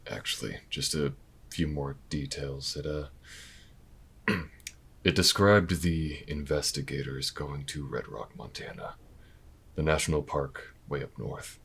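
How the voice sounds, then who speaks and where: An actor playing a weary, wry, protective investigator in an intimate audio drama, low-key and dry, with hesitant pauses. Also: male voice, exaggerated voice. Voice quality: very deep, gravelly, husky. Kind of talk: audio roleplay acting, intimate and naturalistic.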